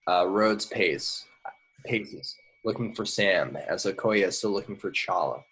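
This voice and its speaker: A man with a fairly low voice reads action descriptions from a screenplay. The delivery is informal narration, with the odd stumble over words, as at a home table read. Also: measured pace, a bit bright, breathy edge, rough recording. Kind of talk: reading screenplay stage directions aloud.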